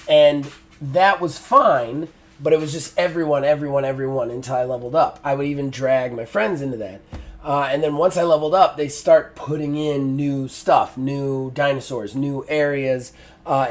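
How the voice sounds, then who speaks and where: Middle-aged guy ranting in a casual game review, kinda high voice, lots of uhs and restarts. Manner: frank and exasperated, frequent fillers and restarts.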